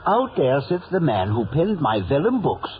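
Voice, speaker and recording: An actor playing a pompous, bookish, condescending gentleman who blusters and stammers when flustered, in 1950s radio drama style. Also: on the high side, big pitch swings, normal speed. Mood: pompous scolding. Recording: old radio broadcast, narrow band, music behind.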